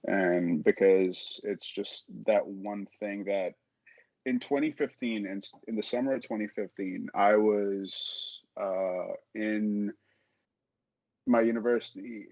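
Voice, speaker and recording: A young man talking candidly as a podcast guest, halting as he searches for his words. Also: fairly low pitch, fairly even intonation, darkish tone, dry recording. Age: young adult. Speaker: podcast guest, audio drama creator. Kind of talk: candid casual conversation. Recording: dull, dry lo-fi sound.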